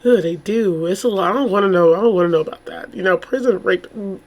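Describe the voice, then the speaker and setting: Female vlogger, slightly hoarse, casual and rambling, exclamatory commentary in an informal chatty style. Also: medium pitch, quick pace, a little mellow. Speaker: YouTube true crime host.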